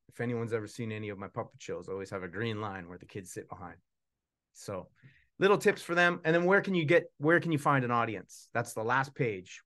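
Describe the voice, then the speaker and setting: A man's voice: medium pitch, fairly bright tone. A man in his forties chatting casually at a live book launch as a puppeteer and author, rambling and self-deprecating. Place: small indoor room with puppet stage.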